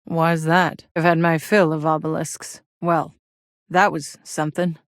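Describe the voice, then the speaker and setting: A woman voicing a tough, wry female soldier in a sci-fi video game, with gruff, sardonic, casual swagger. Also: deep voice, flat delivery, unhurried, no room sound, clean studio sound. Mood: dry, wry.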